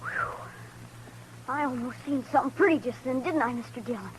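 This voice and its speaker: A woman's voice: sits high, wildly swooping pitch, a little fast, a little hiss or hum, rough recording. A woman playing a boastful, gun-crazy runaway boy in a 1950s radio western, speaking in rustic country grammar. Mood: eager.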